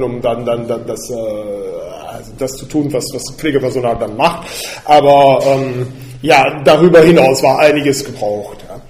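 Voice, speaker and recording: A man from Belgium giving a personal sermon, speaking in a hesitant, halting way with many fillers. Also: mid-range voice, warm tone, echoey room. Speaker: preacher.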